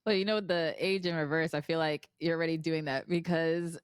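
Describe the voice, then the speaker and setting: A woman in her thirties hosts a podcast in a chatty, relaxed way. Her voice sits low, and it is recorded dry and close. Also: a little fast, clean tone, no room sound. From Florida. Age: 30s. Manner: chatty, laughs easily. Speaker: podcast host. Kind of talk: casual interview chat.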